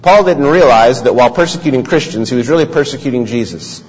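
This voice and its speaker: A man preaching a sermon as pastor, telling stories in a measured, earnest way. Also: mid-range voice, animated, darkish tone, husky. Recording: dull sound, long hall reverb.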